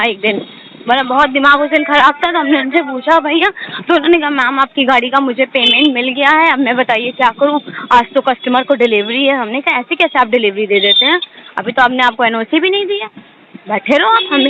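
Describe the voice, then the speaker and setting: Woman customer, very high voice, pressing a grievance at length over a narrow phone line. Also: lively intonation, brisk, pushed and bright. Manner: insistent, aggrieved, talks at length. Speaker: customer complaining to a car salesman. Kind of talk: phone complaint conversation. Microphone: phone call. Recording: noisy tinny phone line with clicks.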